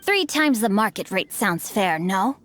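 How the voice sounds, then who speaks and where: A woman's voice: medium pitch, animated, measured pace, a bit bright, a little hiss or hum. A woman voicing a witty, bookish young male heir in a game cutscene, speaking formally with dry, teasing wit.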